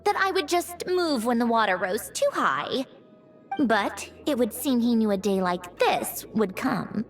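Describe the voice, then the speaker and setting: A high, very expressive young female game character. She speaks in a brusque, matter-of-fact way with a wistful undercurrent, like someone who once tended a quiet home. Faint music plays underneath. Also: measured pace. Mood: wistful, reflective. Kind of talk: video game voice acting.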